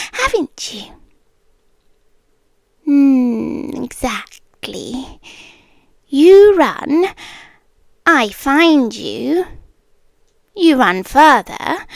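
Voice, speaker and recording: A British woman voicing a possessive female scorpion creature in an ASMR roleplay, softly whispering and murmuring with calm, teasing menace and condescending tenderness. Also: high voice, no echo, exaggerated voice. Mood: mock-tender teasing. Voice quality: soft, breathy whispers mixed with murmured speech.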